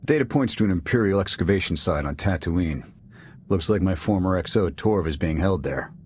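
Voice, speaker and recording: An actor playing a blunt, loyal army sniper officer with clipped military speech in a video game. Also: male voice, deep voice, lively intonation, normal speed, darkish tone, slight background noise. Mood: businesslike.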